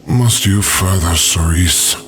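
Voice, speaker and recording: A man's voice: deep voice, fairly bright tone, exaggerated voice. A man playing a wise, loyal, dignified companion in a fantasy audio drama, speaking formally with dry patience. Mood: weary, chiding. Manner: measured, formal, dryly patient. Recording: voice over background music.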